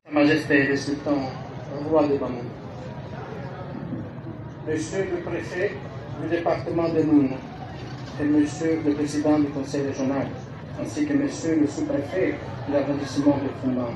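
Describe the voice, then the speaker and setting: A man's voice: a little high, fairly even intonation, moderate pace, pauses often. A man giving a formal ceremonial speech as an official addressing dignitaries. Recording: echoey, noisy, far from mic.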